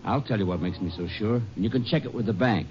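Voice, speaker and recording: A stubborn, defensive country feed buyer in vintage radio drama, played by a man. His voice is fairly low, his delivery folksy and emphatic. The old recording is muffled, with faint noise. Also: fast, lightly acted. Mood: indignant, insistent. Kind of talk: 1940s-50s radio drama acting.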